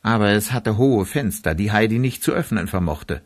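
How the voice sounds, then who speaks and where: A man's voice: fairly low pitch, expressive, moderate pace. A man narrating a children's radio play in a scripted storybook style.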